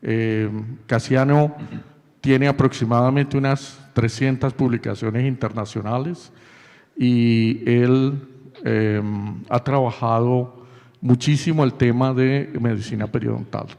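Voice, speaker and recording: A man hosting an event, introducing a guest speaker in a halting way with many fillers. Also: mid-range voice, fairly even intonation, vocal fry, dark tone, in a large hall. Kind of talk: formal introduction.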